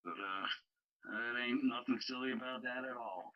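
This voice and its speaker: A man's voice: mid-range voice, moderate pace. Male radio caller, folksy and casual, thin phone-like audio.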